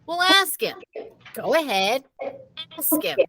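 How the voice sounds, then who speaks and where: A woman's voice: high voice, big pitch swings, slow, bright, forceful tone, full character voice. A woman voicing a frantic, accusing fourth-grade boy in a children's storybook, insistent and exclaiming. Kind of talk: children's storybook read-aloud.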